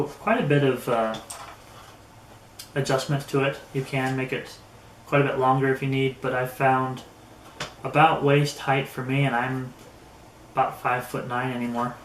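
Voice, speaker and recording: A man giving a casual hands-on product review, speaking in a halting way with pauses mid-phrase. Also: mid-range voice. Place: indoors in an echoey room. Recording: roomy echo, faint background noise.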